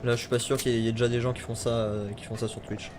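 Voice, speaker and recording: A man casually chatting and joking while streaming a game, his talk breaking into sudden shouts. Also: medium pitch, level tone, rapid-fire. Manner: chatty, jokey, shouts in bursts. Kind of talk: casual gaming chat. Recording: noisy game audio with gunfire.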